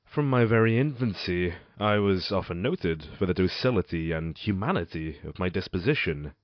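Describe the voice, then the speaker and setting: An actor playing a tormented condemned man confessing in a Gothic audio drama, with theatrical, deliberate, formal delivery. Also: low-pitched, lively intonation. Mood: brooding, reflective. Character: tormented condemned man confessing his crimes, intense and melancholy. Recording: dull, roomy amateur recording.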